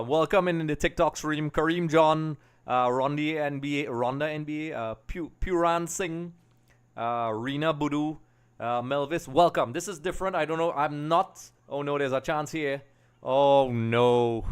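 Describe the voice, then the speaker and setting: Trini guy streaming a football watch-along, smooth clear voice, chatty and rambly, talking right to viewers. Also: a little high, normal speed. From Trinidad and Tobago. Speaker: livestream host. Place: small home streaming room. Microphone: desk microphone on a boom arm.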